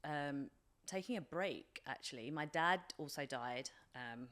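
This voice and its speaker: A woman in her forties with a British accent and a low-pitched voice. She speaks as a podcast guest, reflective and informal. Her phrasing is hesitant, circling through small filler phrases as she explains herself. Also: unhurried, fairly bright tone. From England, from age three. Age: 40s. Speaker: podcast guest, life coach. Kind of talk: reflective personal interview.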